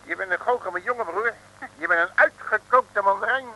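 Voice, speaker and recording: A man's voice: high voice, moderate pace, bright, forceful tone, heavily acted, poor audio. A man in an old radio play playing a cocky, chatty, streetwise detective. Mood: jaunty. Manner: folksy, boastful, colloquial. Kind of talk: 1960s radio play acting.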